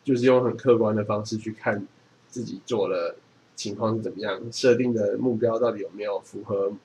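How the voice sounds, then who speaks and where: A man's voice: fairly low pitch, steady tone, fairly quick, a little mellow, a little hiss or hum, low-quality recording. A man, reading from a book and explaining it in a casual chat. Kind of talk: reading a book aloud and chatting about it.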